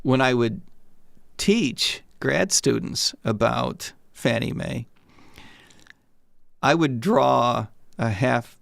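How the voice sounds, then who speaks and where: A man's voice: medium pitch, creaky, dry recording, studio quality. A man in his sixties, a retired economist, telling stories as a guest in a casual interview and often repeating words when he starts a sentence.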